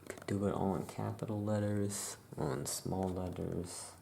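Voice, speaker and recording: A soft-spoken ASMR host playing a witch shopkeeper, casually improvising and thinking aloud in an unhurried, rambling way. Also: sits low, steady tone, vocal fry, a little hiss or hum.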